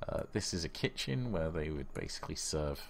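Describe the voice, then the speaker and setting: A man's voice: a bass voice, big pitch swings, brisk, vocal fry, slight background noise. A man narrating a tabletop horror game as game master, in descriptive storytelling. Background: background music.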